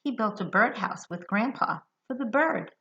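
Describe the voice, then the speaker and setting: An American woman reading a picture book aloud to young children, expressive and deliberate, putting questions to her listeners. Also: mid-range voice. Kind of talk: children's read-aloud storytelling. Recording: dull lo-fi sound.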